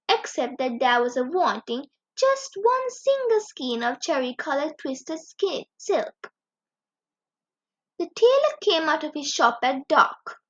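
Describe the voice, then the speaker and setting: A woman reading a children's story aloud as a storyteller, with expressive, animated delivery. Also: high-pitched. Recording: dull lo-fi recording.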